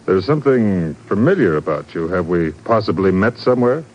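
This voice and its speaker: An actor playing a guarded, formally polite European immigrant estate manager in a 1950s radio drama. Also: male voice, sits low, lively intonation, brisk. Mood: wary, polite. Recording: muffled 1950s radio recording.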